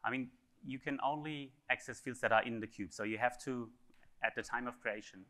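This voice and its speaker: A man speaker answering audience questions after a technical conference talk, pausing often with fillers and restarts. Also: mid-range voice, pushed and bright. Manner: hesitant, many fillers and restarts.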